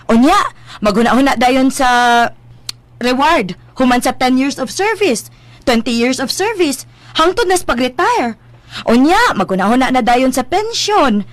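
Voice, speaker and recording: High-voiced, dreamy young Cebuano daughter. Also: female voice, no echo. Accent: Filipino, Cebuano. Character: ambitious, playful young daughter who dreams of riches. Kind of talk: radio drama acting.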